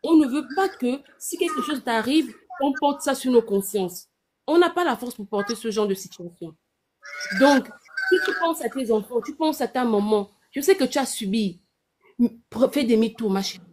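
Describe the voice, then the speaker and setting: A woman's voice: on the high side, animated, moderate pace, background noise. A woman from Gabon with a Gabonese French accent, giving earnest, insistent big-sisterly advice on a live call-in panel.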